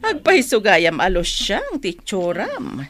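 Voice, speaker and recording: A woman's voice: mid-range voice, wildly swooping pitch, unhurried, fairly bright tone, soft background music. A woman with an Ilocano accent playing a haughty, touchy middle-aged mother, confrontational and indignant in a radio drama.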